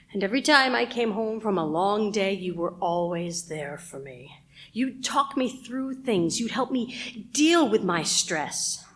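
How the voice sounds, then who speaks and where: A woman playing a bitter, overworked radio station manager in a radio play, domineering and sharp-tongued. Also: medium pitch. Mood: wistful, grieving.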